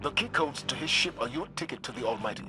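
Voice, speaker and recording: An actor playing a stern male military commander in a video game, giving measured, authoritative orders. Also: mid-range voice, expressive, lightly acted. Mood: commanding. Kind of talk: video game cutscene acting. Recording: loud game music behind.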